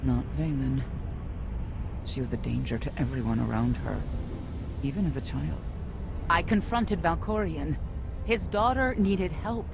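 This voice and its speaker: A middle-aged woman playing a sorrowful, weary knight and estranged mother in a game cutscene, speaking in a measured, solemn way. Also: deep voice, creaky, noisy background. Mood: sorrowful, regretful. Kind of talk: dramatic game cutscene acting. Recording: music behind the voice.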